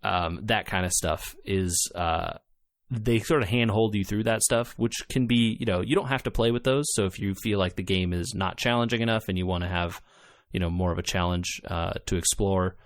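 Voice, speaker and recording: Low-voiced man chatting about games. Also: moderate pace, dry recording. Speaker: podcast co-host reviewing games. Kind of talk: casual chat about video games.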